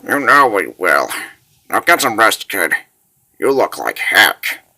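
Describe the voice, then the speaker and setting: Man voicing a plainspoken, protective warrior, unhurried. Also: lowish voice, sing-song, sharp tone, heavily acted. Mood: kindly gruff. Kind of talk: dramatic comic dub acting.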